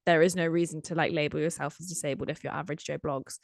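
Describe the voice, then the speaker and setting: A woman hosts a podcast interview with a slightly croaky voice. Her pitch sits low for a woman, and the sound is dry and close. She asks earnest, drawn-out questions, padded with "like". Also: fairly even intonation, no echo. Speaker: podcast host.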